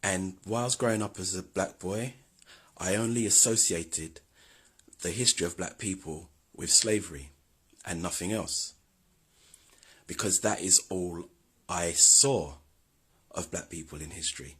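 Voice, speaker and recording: Husky, very deep-voiced man. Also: a little slow, pauses often, a bit bright. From London, England. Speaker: video host. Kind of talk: scripted history commentary.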